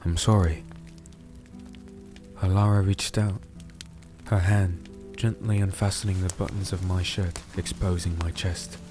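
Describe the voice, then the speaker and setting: Guy playing a tired brooding crusader, really low voice, solemn fantasy storytelling over music. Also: level tone, unhurried, pauses often. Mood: earnest, subdued. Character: weary, disenchanted crusader, gentle and earnest, narrating. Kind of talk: first-person dark fantasy audio drama narration and dialogue. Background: music with rain sounds.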